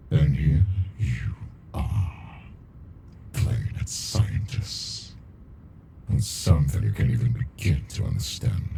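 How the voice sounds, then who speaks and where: A man voicing an ancient, arrogant captive alien in an ASMR monster roleplay, murmuring with slow, amused menace and condescension in a deep, gravelly voice. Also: mellow, a little hiss or hum, exaggerated voice. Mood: condescending, amused.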